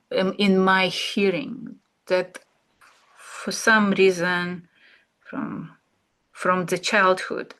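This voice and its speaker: A woman, an English speaker, teaching an art therapy class, speaking slowly and reflectively with fillers and pauses. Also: lowish voice, fairly bright tone. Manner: slow, searching, with fillers and pauses. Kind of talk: relaxed reflective class talk.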